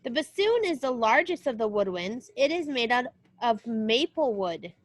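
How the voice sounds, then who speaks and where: A woman's voice: a little high, sing-song, moderate pace, dry recording. A woman music teacher reading a children's book aloud as a lesson, explaining things directly to young listeners. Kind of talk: read-aloud lesson with short spoken asides.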